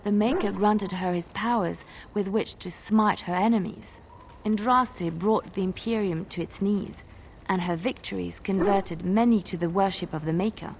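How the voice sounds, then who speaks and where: An actress playing an earnest, devout young bard who solemnly tells sacred legends in a fantasy video game. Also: female voice, mid-range voice, moderate pace, a little hiss or hum, natural voice, poor audio. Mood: solemn storytelling.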